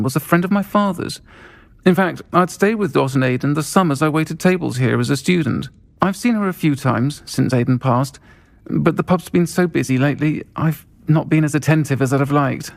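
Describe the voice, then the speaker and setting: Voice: medium pitch, lively intonation, normal speed, gravelly. A performer playing a kindly, caring witch who keeps a pub in a video game, speaking with a British accent in a familiar, considerate manner. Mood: caring, confiding.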